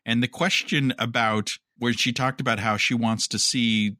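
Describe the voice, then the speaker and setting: A man's voice: medium pitch, steady tone, moderate pace, pushed and bright, dry recording, studio quality. A man co-hosting a podcast, chatting casually and offering his opinions in a conversational way. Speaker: podcast co-host. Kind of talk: casual chat about entertainment news.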